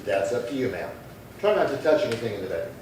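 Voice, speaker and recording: Actor as a businesslike male detective, mid-pitched, clipped, polite, matter-of-fact, radio crime play, very echoey room. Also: very animated, fast, darkish tone, natural voice. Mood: patient, dry. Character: terse, courteous, businesslike male police detective. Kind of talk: 1950s radio crime drama acting. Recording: very echoey, distant, faint noise.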